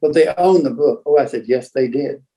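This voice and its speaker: Older guy, soft dark voice, rambling along like a storyteller, stops and starts a lot. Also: mid-range voice, low-quality recording. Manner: rambling, halting raconteur who acts out remembered exchanges. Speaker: museum founder and book collector, guest storyteller. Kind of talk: casual anecdotal storytelling.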